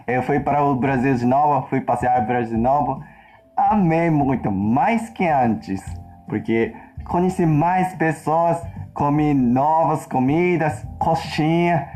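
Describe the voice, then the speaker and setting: A Japanese man speaking in halting learner's speech, searching for words as he gives a personal account, with faint music behind. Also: on the high side, a little mellow. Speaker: interviewee in a played video.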